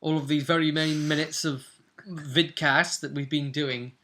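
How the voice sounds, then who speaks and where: A man's voice: on the high side, fairly even intonation, normal speed, a bit bright. A man chatting casually as game master and host, recapping a tabletop roleplay session.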